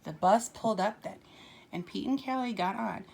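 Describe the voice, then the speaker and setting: A woman's voice: mid-range voice, moderate pace, creaky, a little mellow. A woman reading a picture book aloud to young children like a teacher, expressively, with simple asides and questions to the listeners.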